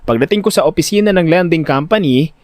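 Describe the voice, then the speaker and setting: A man giving expressive, comically exasperated first-person narration of a dramatic audio story. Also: a little high, fast talker, no room sound. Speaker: narrator and lead voice actor.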